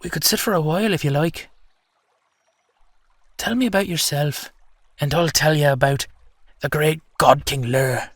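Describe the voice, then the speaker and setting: A man's voice: fairly high pitch, moderate pace, long pauses, dry recording, natural voice. Whimsical storyteller salmon-man, acted by a man. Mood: friendly, inviting. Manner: chatty, colloquial, playfully indignant. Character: whimsical, boastful ancient salmon-man, storyteller of Irish myth. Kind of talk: audio roleplay storytelling addressed to the listener.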